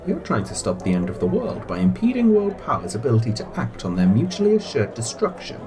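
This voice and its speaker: Suave male spymaster type, very animated swooping delivery, polite and theatrical, audio drama, busy crowd behind. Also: mid-range voice, fairly quick. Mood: delighted, intrigued. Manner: courteous, theatrical, formal phrasing. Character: suave, polite, scheming man recruiting spies.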